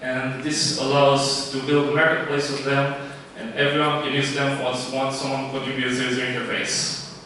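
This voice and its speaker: A man from Romania pitching his startup live, halting often with fillers and restarts. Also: monotone, bright, forceful tone, slight background noise. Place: event room. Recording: echoey room, distant mic.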